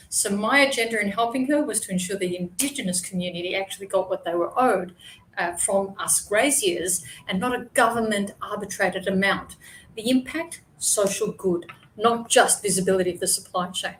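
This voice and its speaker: A woman's voice: mid-range voice, slight background noise, low-quality recording. A woman with an Australian accent giving an online presentation as a guest speaker, chatty, with frequent fillers and restarts.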